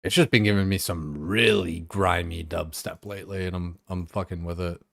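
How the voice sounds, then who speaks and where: Young man, very low voice, rambling casual chat, dry close mic. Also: steady tone, normal speed, gravelly, a bit bright, no echo. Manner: rambling, heavy on fillers and swearing. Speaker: game streamer. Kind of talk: casual chat while playing.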